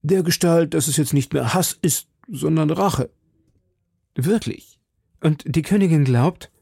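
A man's voice: a little high, creaky, warm tone, breathy edge, no echo, clean studio sound, voice pushed up, full character voice. Man voicing a brash, eager young gallant. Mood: inquisitive. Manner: brisk questioning, exclamatory. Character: brash, inquisitive young gallant, proud and impulsive. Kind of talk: audiobook character dialogue.

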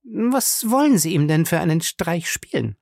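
A man voicing a wary, sharp-tongued woman in an audiobook, curt, indignant and suspicious. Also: on the high side, sing-song, normal speed, creaky, no room sound, studio quality, pitched above the performer's own voice, full character voice. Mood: firm, suspicious. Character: wary, sharp-tongued woman guarding her good reputation. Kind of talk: audiobook character dialogue.

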